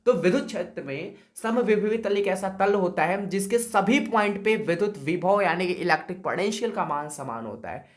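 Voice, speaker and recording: A man's voice: sits high, animated, normal speed, hardly any pauses. A man with a sore throat, teaching physics exam answers in a chatty way, often checking in with students and calling them brother. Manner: chatty, repeats "okay" and calls students "brother". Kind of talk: exam-prep lesson.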